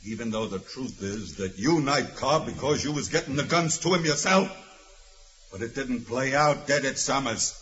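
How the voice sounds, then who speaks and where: A man's voice: mid-range voice, moderate pace, fairly bright tone, lightly acted. A man playing a scornful, defiant convict who talks in slangy tough-guy fashion in a 1940s radio drama. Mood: accusing. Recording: muffled, noisy vintage radio recording.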